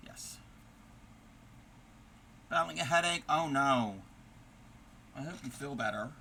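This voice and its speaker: Chatty man with a scratchy, very bright voice. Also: medium pitch, animated, takes it slowly, vocal fry. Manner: chatty, explains stitches step by step, reads out and answers viewer comments. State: voice turning scratchy, losing his voice. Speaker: crochet livestream host. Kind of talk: casual chat while crafting, answering viewers.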